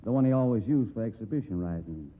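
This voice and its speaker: An actor playing a calm, dry-witted rodeo manager who investigates a murder. He speaks in a laconic drawl, in 1940s radio acting style. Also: fairly low pitch, quick pace, slight background noise, natural voice. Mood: explaining. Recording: narrow-band old radio broadcast.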